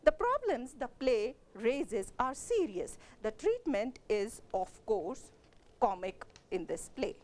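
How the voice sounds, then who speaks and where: A woman's voice: a little high, big pitch swings, slow pace, a little mellow. A woman with a Kashmiri accent giving a literature lecture as a college lecturer, explaining steadily with frequent fillers and self-corrections.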